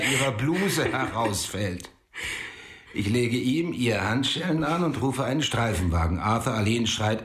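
A man's voice: mid-range voice, close to an everyday voice. An actor playing a middle-aged police detective and doting father, telling stories with dramatic flair in a radio drama. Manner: animated, dramatic storyteller. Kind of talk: radio drama dialogue and storytelling.

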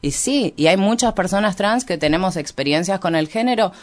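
Argentine man, clear smooth voice, reflective. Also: high-pitched, expressive. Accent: Argentine Rioplatense. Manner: halting, reflective, frequent hedging and self-correction. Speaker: interview guest, activist and researcher. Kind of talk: unscripted radio interview, explaining ideas.

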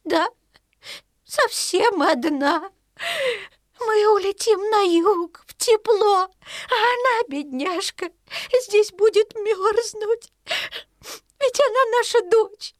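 A woman's voice: extremely high pitch, takes it slowly, dry recording, studio quality. A woman playing a scolding, devoted old mother duck in an audio storybook, speaking with emotional reproach. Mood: anguished, tender. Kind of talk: audio storybook character acting.

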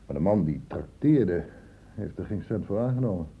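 An actor in a Dutch radio play voicing an earnest, insistent doctor who questions in a measured, formal way. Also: male voice, low voice, lively intonation, mellow, breathy edge, heavily acted. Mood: measured, reasoning. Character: earnest, insistent male doctor investigating an infection outbreak. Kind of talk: Dutch radio drama acting. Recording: muffled phone-like old radio recording.

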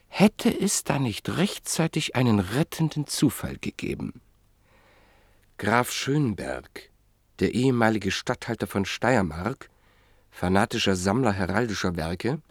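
Gravelly, solemn male radio narrator. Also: on the low side, a little mellow, no room sound, clean studio sound. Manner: measured, solemn storytelling.

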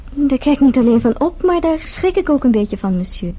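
A discreet female hotel maid in a radio drama. She is formal and deferential with a sly edge, in a soft-toned, slightly high voice. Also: very animated, brisk. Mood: discreet, disapproving. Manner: polite, formal, careful. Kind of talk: radio play acting.

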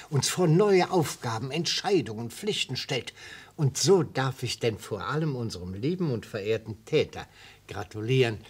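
An actor playing a genial retired old prosecutor in a radio play, grandiloquent and oratorical, with a slightly husky voice. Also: male voice, mid-range voice, exaggerated voice. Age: older. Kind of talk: German radio play acting.

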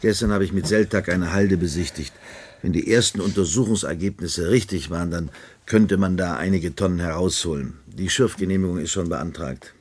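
Low-voiced middle-aged man, a dry, world-weary clerk in a seventies sci-fi radio drama, resigned and grumbling. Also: moderate pace, a little mellow, breathy edge. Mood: dry, pedantic. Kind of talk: 1970s German radio play acting.

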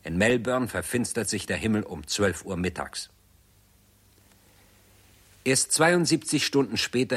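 An actor narrating a radio drama as a grave chronicler, in a measured, sober, matter-of-fact manner. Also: male voice, on the low side, a bit bright, breathy edge. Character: grave male chronicler of a world catastrophe.

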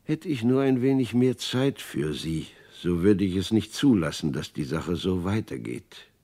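A slow, brooding, deep-voiced uncle. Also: male voice, expressive, dark tone. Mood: troubled. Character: worried, earnest uncle reading his diary aloud. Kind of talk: German radio play monologue.

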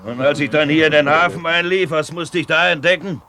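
An actor playing a grizzled, blustering grey-haired merchant captain in a radio drama, with a hoarse, salty, emphatic delivery. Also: male voice, fairly high pitch, steady tone, a little fast, sharp tone. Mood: storytelling. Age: older. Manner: bawling, emphatic, salty storytelling. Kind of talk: 1970s German radio drama acting.

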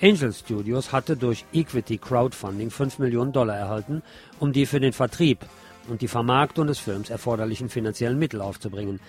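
A man's voice: mid-range voice, brisk, a little mellow. Man hosting radio, music behind him. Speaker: radio host. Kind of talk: scripted film commentary on alternative radio.

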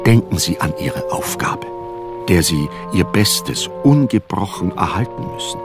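An actor playing an earnest, refined literary friend, reading a solicitous letter in a halting, measured way in a radio play. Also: male voice, low voice, sing-song, music in the background, exaggerated voice. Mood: concerned, earnest.

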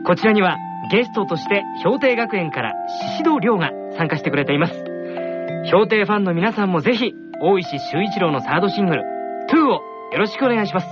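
Earnest high-voiced teenage schoolboy announcer. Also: male voice, lively intonation, fast, highs cut off. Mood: earnest, upbeat. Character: earnest, polite teenage schoolboy presenting a news bulletin. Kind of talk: character announcement in a radio drama. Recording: band-limited radio recording with music behind.

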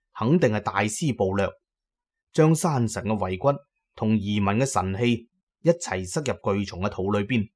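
A man's voice: on the low side, darkish tone. A man with a Hong Kong Cantonese accent narrating an adventure story in the first person, as in an audio drama.

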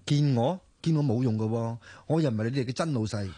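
A man's voice: medium pitch, fast talker, warm tone, no echo, natural voice. A man with a Hong Kong Cantonese accent, playing a hesitant young man who protests against posing as someone else in a radio drama. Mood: uneasy, defensive.

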